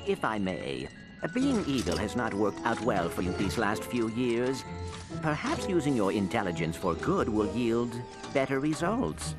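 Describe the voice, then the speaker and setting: A polite little robot companion from a video game. He is earnest and precise, with very varied intonation. Loud music runs behind the formal speech. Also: fairly low pitch, very animated, measured pace. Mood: politely reasoning. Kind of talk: video game cutscene acting.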